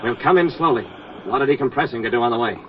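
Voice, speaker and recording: An actor playing a brave navy diver giving a terse, urgent running report in a 1940s radio drama. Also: male voice, mid-range voice, rapid-fire, close to an everyday voice. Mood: grave, urgent. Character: brave male navy diver Moran reporting tense undersea rescue. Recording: noisy, dull vintage 1940s radio recording.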